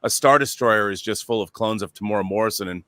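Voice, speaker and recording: A man telling anecdotes in a casual, excitable and emphatic way on a pop culture talk show. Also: fairly low pitch, fast, no room sound.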